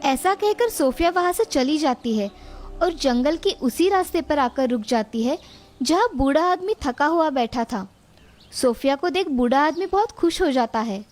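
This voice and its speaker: A woman's voice: high voice, lively intonation, moderate pace, darkish tone, soft background music. A woman narrator reading a scripted moral story for children.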